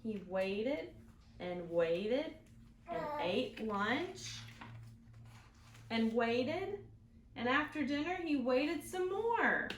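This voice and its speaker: A woman hosting a children's storytime, reading aloud expressively and pausing to explain things and ask the children questions. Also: sits high, expressive. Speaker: children's librarian, storytime host. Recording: roomy echo, some background noise.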